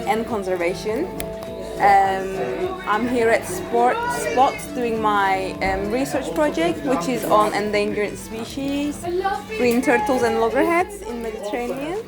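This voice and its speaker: Brief: woman in her twenties from Cyprus, halting casual vlog talk, slow, loud music and crowd behind. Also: mid-range voice. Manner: hesitant, many ums. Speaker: volunteer researcher. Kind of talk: casual interview to camera.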